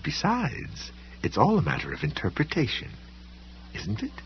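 A man voicing a polite, condescending woman librarian in an audiobook story, with a smooth, quietly menacing manner. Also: fairly high pitch, huge pitch swings, moderate pace, lo-fi sound, a little hiss or hum, pitched above the performer's own voice. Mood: smoothly dismissive. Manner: smooth, coolly dismissive, faintly patronizing.

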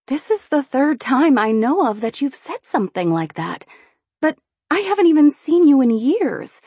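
A woman's voice: high-pitched, sing-song, moderate pace, darkish tone, no echo, pitched above the performer's own voice. A woman reading an audiobook voices a proud young queen who speaks firmly and formally. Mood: indignant. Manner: firm, formal, indignant. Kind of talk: audiobook character voice.

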